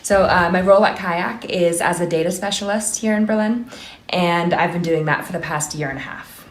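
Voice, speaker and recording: An American woman in her twenties speaking about her job in a calm, measured way. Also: lowish voice. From United States. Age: 20s. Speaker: data specialist. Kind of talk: casual testimonial. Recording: echoey room, faint ambience.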